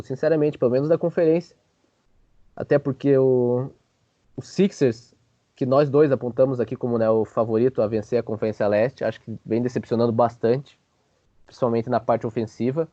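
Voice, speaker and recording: A man's voice: mid-range voice, moderate pace, pauses often, warm tone, no room sound, highs cut off. A man hosting a sports show with casual, joking basketball commentary full of informal filler words.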